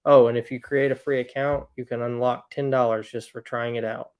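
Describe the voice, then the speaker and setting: A man's voice: medium pitch, level tone, brisk, a little mellow. A man reading a sponsor ad in an upbeat promotional way. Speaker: ad reader. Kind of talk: read sponsor ad.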